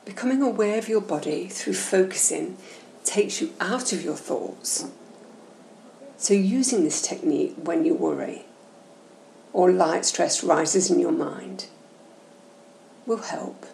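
A woman guiding a meditation session in a soothing, gentle, measured and instructional way. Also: on the low side, expressive, creaky. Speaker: guided meditation host. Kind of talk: slow, soothing guided meditation narration. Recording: roomy echo, faint background noise.

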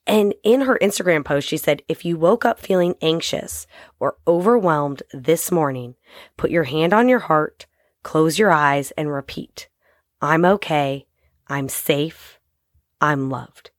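A woman in her thirties hosting a short motivational podcast, hoarse and raspy from a cold, talking straight to her listeners in an encouraging way. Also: deep voice, animated, a little slow, no echo, clean studio sound. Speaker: podcast host.

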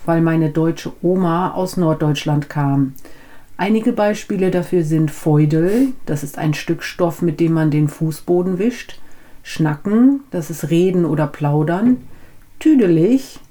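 A woman's voice: lowish voice, dark tone. A woman whose first language is Dutch, co-hosting a language podcast, asking questions and listening attentively. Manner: clear, attentive interviewer with frequent affirming hums. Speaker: podcast co-host, business German teacher. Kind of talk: conversational podcast chat.

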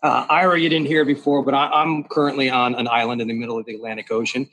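A man's voice: mid-range voice, quick pace, low-quality recording. A man hosts a panel in an easygoing, chatty way, leaning on "uh" and "you know" as he moves the talk along. His voice sits in a middle register. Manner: relaxed, many "uh" and "you know" fillers. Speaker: host. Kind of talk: hosting a panel discussion.

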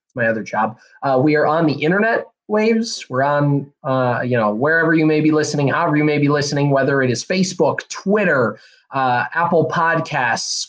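A young man, hosting a solo podcast in a rambling, energetic way, a bit hoarse and nasal from a cold. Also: on the high side. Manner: rambling, energetic, many fillers and restarts. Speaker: podcast host. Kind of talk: casual solo podcast talk.

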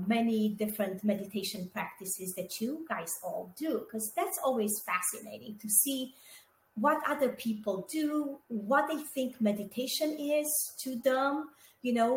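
A middle-aged woman from Romania, co-hosting a podcast in a chatty, casual way, with many fillers and asides to her listeners. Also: on the high side. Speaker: podcast co-host. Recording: echoey room with faint background noise.

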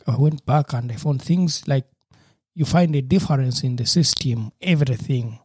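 A man with a Ugandan accent, hosting a podcast chat and speaking haltingly with frequent restarts. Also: medium pitch, darkish tone, husky, no room sound, clean studio sound. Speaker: podcast host. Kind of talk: casual interview chat.

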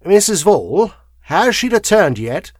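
A courteous, careful solicitor from a mystery audiobook, acted by a male narrator. He speaks earnestly and a bit hesitantly, raised above the narrator's usual pitch. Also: sing-song, measured pace, slightly rough, dry recording, studio quality, exaggerated voice. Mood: polite. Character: polite, cautious male English solicitor.

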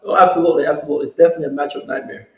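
A man's voice: on the high side, steady tone, racing, a bit bright, echoey room. A man in his thirties from Jamaica, speaking casually as an athlete being interviewed, often repeating phrases and using verbal tags. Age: 30s. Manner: repetitive, peppered with "you know". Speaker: football player, interview guest.

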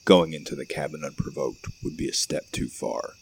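A man's voice: a bass voice, steady tone, moderate pace, creaky, breathy edge. A man reading scripted narration for a horror audio play, with night insects behind him. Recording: faint background noise.

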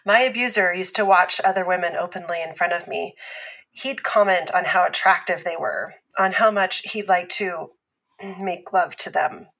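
An actress playing a calm, patient abuse survivor who explains things in a measured, instructive way in a scripted fiction podcast. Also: female voice, lowish voice, gravelly, heavily acted, rough recording. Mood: frank, pained.

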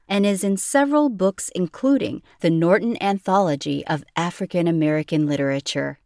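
A woman with a fairly low-pitched voice narrates a podcast from a script. Music plays clearly behind her as she reads.

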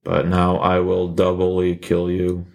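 A man voicing a deadpan, literal-minded killer who talks in a flat, robotic monotone. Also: low-pitched, takes it slowly, vocal fry, exaggerated voice. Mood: flat menace.

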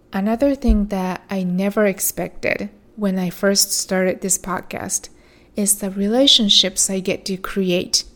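A woman hosting a podcast, talking through a reflective solo episode. Her voice is soothing and measured, and she speaks unhurriedly at a mid pitch. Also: fairly bright tone. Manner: slow, soothing, measured delivery. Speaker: podcast host.

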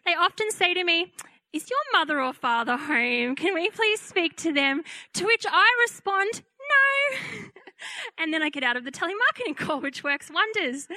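A woman preacher with a high, bright voice tells her story with lively, animated delivery. She slips into the voices of the people in her story as she goes. The sound is close and dry. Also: dry recording. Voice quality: fairly high-pitched. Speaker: pastor. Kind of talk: testimony sermon.

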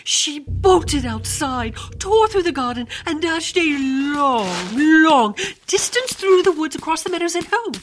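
A woman's voice: high-pitched, animated, a little slow, background noise. A woman narrating a fairy-tale radio drama in a theatrical, suspenseful style. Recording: music bed under voice.

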